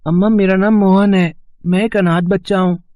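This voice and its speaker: A man's voice: high voice, steady tone, moderate pace, a little mellow, no echo, clean studio sound, close to an everyday voice. A man voicing a polite, pitiful little orphan boy in a cartoon moral story, earnest and pleading. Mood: sad, earnest. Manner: plaintive, earnest begging.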